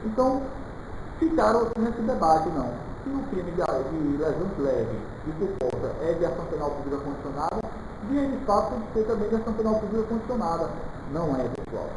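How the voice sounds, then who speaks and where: A man's voice: fairly high pitch, animated, brisk. Echoey man teaching live. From Salvador, Brazil. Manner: didactic, with frequent check-in questions and colloquial asides. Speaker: criminal law teacher for public exam candidates. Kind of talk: informal live online lecture. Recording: very echoey room with clear background noise.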